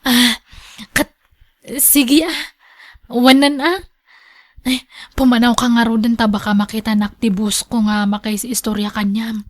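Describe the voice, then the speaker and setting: A woman's voice: a little high, fairly even intonation, measured pace, pauses often, close to an everyday voice. A woman playing an emotional young daughter and wife in a radio drama, with a northern Philippine accent. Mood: shy, guarded.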